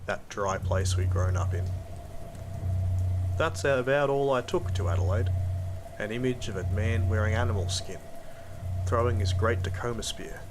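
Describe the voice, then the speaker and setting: A man's voice: on the low side, normal speed, long pauses, background noise, natural voice. An Australian man playing a proud, blunt field hunter who narrates an audio drama in a weary, colloquial way. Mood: weary, brooding.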